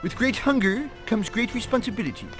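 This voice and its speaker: A man's voice: very high-pitched, animated, a little fast, fairly bright tone, soft background music. A man voicing a hammy, bombastic cartoon mascot announcer, theatrical and grandiose, in a game cutscene. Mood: showy. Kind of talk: animated game contest announcing.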